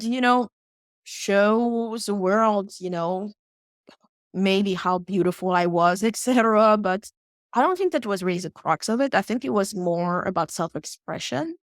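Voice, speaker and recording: A young woman from West Africa, a podcast guest, talking about her background in a calm, reflective, unhurried way. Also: mid-range voice, no room sound, clean studio sound. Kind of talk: casual interview talk.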